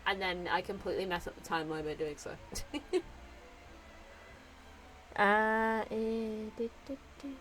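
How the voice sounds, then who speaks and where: A woman's voice: mid-range voice, steady tone, measured pace, pauses often, a bit bright, slight background noise. A woman streaming a game, chatting casually and giggling as she gushes over the characters. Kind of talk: casual live-stream commentary.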